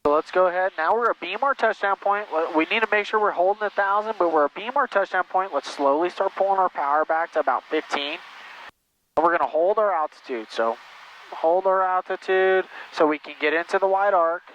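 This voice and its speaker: Higher-voiced male flight instructor on muffled intercom. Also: fairly quick. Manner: encouraging, patient, repeats instructions. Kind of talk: step-by-step in-flight coaching. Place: small airplane cockpit in flight. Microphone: aviation headset. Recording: muffled intercom audio, steady engine noise.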